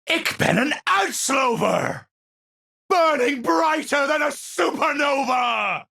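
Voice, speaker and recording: An actor playing an eccentric, unstable scientist in a video game, with a Dutch accent, husky voice and theatrical, formal scientific phrasing. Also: male voice, very high voice, animated, takes it slowly, pushed and bright, raised voice, exaggerated voice. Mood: manic, exultant. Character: eccentric, unstable male scientist, lofty and absent-minded. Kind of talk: video game character voice lines.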